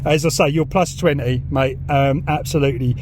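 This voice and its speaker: A man's voice: medium pitch, steady tone, normal speed, noisy background. A man with a southern English accent chatting casually and enthusiastically in a matey, informal way, as a hobbyist vlogger out by his car. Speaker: YouTube vlogger and radio hobbyist. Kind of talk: casual chat while demonstrating and talking on CB radio. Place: in and around a parked car outdoors.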